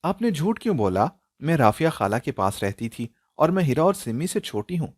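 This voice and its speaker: A hurt, stubborn young daughter from an audio story, performed by a man. The voice is raised and animated, emotional and insistent, in a dry recording. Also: on the high side, moderate pace, dry recording, voice pushed up.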